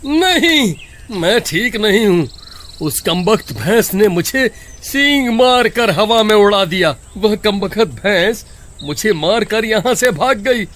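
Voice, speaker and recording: A man playing a greedy, grumpy village milkman in an animated story, scolding and complaining in an exaggerated way. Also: high-pitched, slow pace, heavily acted. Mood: pained, aggrieved. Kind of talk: animated Hindi moral story dialogue. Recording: noisy track, cattle and cricket sounds.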